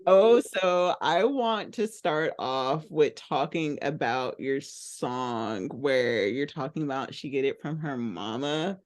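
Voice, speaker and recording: A man from Texas hosts a casual interview. His voice sits fairly high and he speaks at an unhurried pace. He sounds eager, peppering his questions with "like.". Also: no room sound, clean studio sound. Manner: enthusiastic, filler-heavy with "like".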